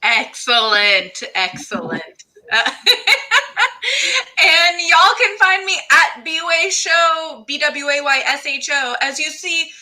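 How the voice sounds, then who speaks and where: Female host, high pitch, strikingly bright and forceful tone, upbeat, casual, playful. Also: lively intonation, slow. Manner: exuberant, playful. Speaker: show host.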